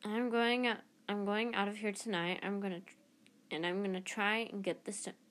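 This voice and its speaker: A determined, defiant teenage boy, voiced by a woman reading aloud with an urgent, intense delivery. The voice stays close to her own mid-range pitch, lightly acted for a storybook. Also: little pitch movement, normal speed, a bit bright, close to an everyday voice. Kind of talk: reading a children's novel aloud.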